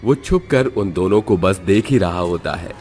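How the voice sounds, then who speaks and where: A man's voice: low-pitched, animated, moderate pace, darkish tone, slight background noise. A man narrating a scripted cartoon story.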